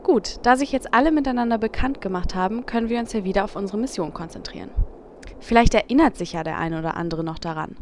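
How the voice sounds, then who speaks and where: A woman's voice: mid-range voice, fast talker, noisy background, natural voice. A woman playing a stern, no-nonsense leader of adventurers in a fantasy parody audio drama. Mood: dry. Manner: stern, dry.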